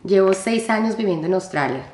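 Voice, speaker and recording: A woman from Colombia, a psychologist, giving measured, explanatory interview answers. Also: mid-range voice, lively intonation, a little mellow, roomy sound.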